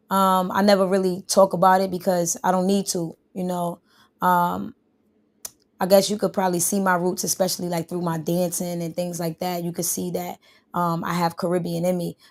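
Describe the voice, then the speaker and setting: A woman rapper answering interview questions. Her voice is low, gravelly and fairly flat. She rambles loosely, full of fillers. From New York. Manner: rambling, relaxed, many fillers.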